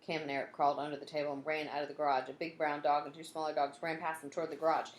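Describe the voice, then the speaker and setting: A woman reading a children's storybook aloud in an expressive way, acting out the story and adding asides like a teacher. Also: low voice, racing. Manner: expressive, acts out dialogue and sound effects. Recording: roomy echo, some background noise.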